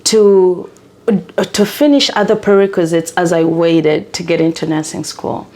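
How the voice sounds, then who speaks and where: A woman's voice: fairly low pitch, steady tone, a little slow, darkish tone. A middle-aged woman from Uganda, a guest in a casual interview, telling her life story in an earnest way.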